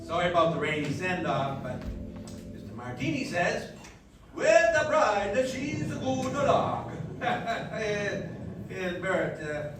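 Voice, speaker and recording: An actor playing a friendly, chummy small-town taxi driver with a folksy, halting manner in a 1940s style radio play. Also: male voice, on the high side. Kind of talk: live radio play acting, 1940s American. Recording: echoey hall from far off, clear noise.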